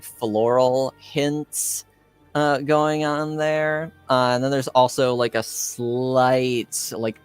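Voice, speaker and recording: A man improvising as game master in a tabletop roleplaying game, hesitant and halting as he searches for words. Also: medium pitch.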